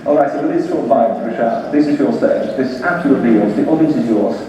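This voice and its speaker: A man's voice: medium pitch, quick pace, dark tone, a little hiss or hum. A man hosting an event, introducing the speaker with upbeat, laughing hype. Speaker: event host. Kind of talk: stage introduction. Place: large conference hall. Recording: roomy hall, distant from mic.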